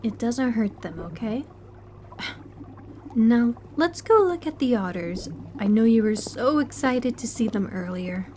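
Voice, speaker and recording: A woman in an audio roleplay playing an educated, politely condescending aquarium worker who speaks in a measured, precise way. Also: a little high, expressive, darkish tone, a little hiss or hum. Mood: soothing. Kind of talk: audio roleplay acting, one-sided conversation.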